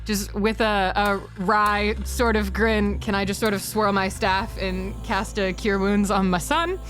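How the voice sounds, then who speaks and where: A woman's voice: mid-range voice, steady tone, measured pace. Woman bantering with music behind. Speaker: podcast panelist and player. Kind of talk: casual banter.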